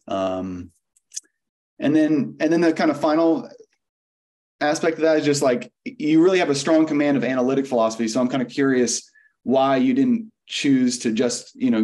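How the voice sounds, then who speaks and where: A man's voice: medium pitch, moderate pace, darkish tone. A young man interviewing a guest over a video call, asking long, rambling questions full of fillers. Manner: rambling, many fillers and hedges.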